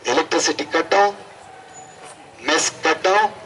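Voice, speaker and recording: A man's voice: a little high, measured pace, a bit bright, a little hiss or hum. A young man with an Indian accent giving an impassioned protest speech into a handheld microphone, emphatic and repeating phrases for effect. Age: young adult. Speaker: student activist. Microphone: handheld microphone through a PA.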